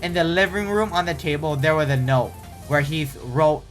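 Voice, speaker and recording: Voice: mid-range voice, moderate pace, soft background music. A casual host reading online horror stories aloud, often stumbling and correcting the wording, with startled, exclaiming reactions in between.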